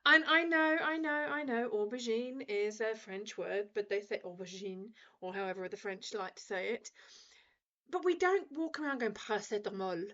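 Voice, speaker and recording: A woman radio host in her forties, chatting casually and ranting with animated, laughing energy. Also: medium pitch, normal speed, lo-fi sound. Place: radio studio.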